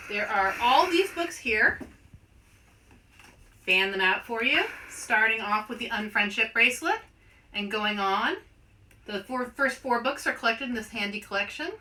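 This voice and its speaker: A woman presenting a children's read-aloud in an animated way, speaking directly to young listeners as an author and host. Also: fairly high pitch.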